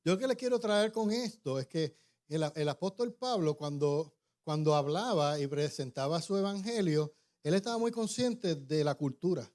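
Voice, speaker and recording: Pastor, conversational, higher-pitched. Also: male voice, animated, normal speed, a little mellow. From born in New York, raised in Puerto Rico. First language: English. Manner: informal, many restarts and tag questions. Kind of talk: conversational sermon.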